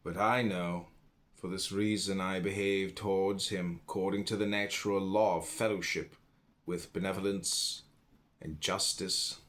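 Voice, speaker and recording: A man reading an old philosophy book aloud in measured phrases, pausing between them. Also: sits low, little pitch movement, fairly bright tone. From United States. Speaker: audiobook reader.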